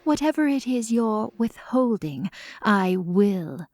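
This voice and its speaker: A woman voicing a cold, vengeful goddess who speaks in a deliberate, threatening, dramatic way. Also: mid-range voice, expressive, a little mellow. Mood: menacing. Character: cold, vengeful, menacing goddess, bitterly accusing.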